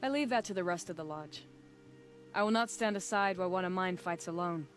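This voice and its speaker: A woman's voice: on the low side, brisk, natural voice. A woman playing a bold, loyal huntress in a video game, speaking firmly and with pride. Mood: defiant. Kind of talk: dramatic video game dialogue.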